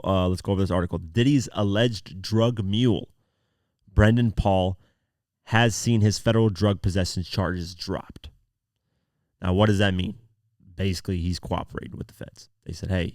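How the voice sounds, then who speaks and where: A man with a very low-pitched voice hosts a podcast, talking through the news in an informal way. His throat is sore, so the voice is not at full strength. The sound is close and dry, with very little room in it. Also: dry recording. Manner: informal, conversational, reads articles aloud then comments. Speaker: podcast host. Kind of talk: casual news commentary podcast.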